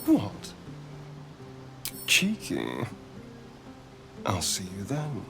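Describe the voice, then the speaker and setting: A man's voice: lowish voice, wildly swooping pitch, drawn-out words, gravelly, music in the background, heavily acted. A man playing a vain, sardonic, flirtatious vampire elf in a video game, arch and theatrical. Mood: flirtatious complaint. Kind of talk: video game dialogue acting.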